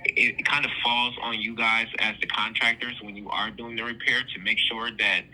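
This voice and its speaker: A man working as an insurance claims adjuster, giving a formal, firm but halting explanation on a phone call. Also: mid-range voice, level tone, pushed and bright.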